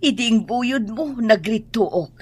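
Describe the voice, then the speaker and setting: A woman's voice: fairly high pitch, expressive, slow pace, a little mellow, breathy edge, heavily acted. A woman playing an aching, complaining mother with a Filipino Ilocano accent in a comic radio drama, plaintive and given to exclaiming. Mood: relieved, grateful. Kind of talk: radio drama comedy acting.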